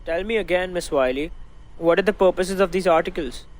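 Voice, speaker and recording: An earnest lawyer acted by a young man presses his case in a radio play. His voice is high for a man, with faint noise behind it. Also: fairly quick, lightly acted. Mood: probing, concerned. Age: young adult. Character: earnest, principled male plaintiffs' lawyer. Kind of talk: student radio drama acting. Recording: faintly noisy home recording.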